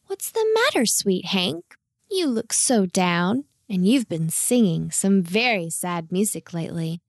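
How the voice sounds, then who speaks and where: A woman voicing an eager, caring, cheerful young girl in a children's storybook, expressive and enthusiastic. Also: mid-range voice, a little slow, no echo, clean studio sound. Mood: caring, sweet. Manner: bright-spirited, expressive, enthusiastic.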